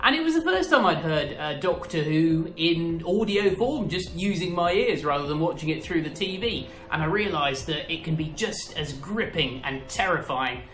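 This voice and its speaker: A man's voice: fairly high pitch, animated, moderate pace, few pauses, fairly bright tone, roomy sound. A man speaking enthusiastically in a monologue, with emphatic stress on his adjectives. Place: indoor room at home.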